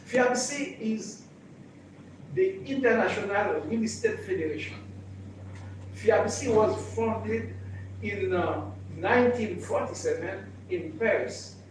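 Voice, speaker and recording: An older man from Haiti, introducing himself in a halting speech with many restarts. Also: high-pitched, expressive. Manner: halting, repeats and restarts phrases. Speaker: real estate association president. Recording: echoey room, mic far off, background noise.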